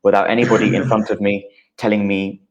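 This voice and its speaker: Deep, flat-voiced man in his thirties. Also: muffled recording. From United Kingdom. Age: 30s. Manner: measured, explaining with frequent uh fillers. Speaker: guest. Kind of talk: explanatory interview talk.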